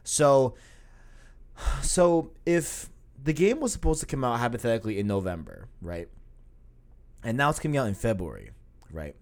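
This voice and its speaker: Animated young man rambling on a podcast. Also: medium pitch, normal speed, pauses often. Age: 20s. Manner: animated, rambling, lots of filler phrases. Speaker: podcast co-host. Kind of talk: casual gaming podcast chat.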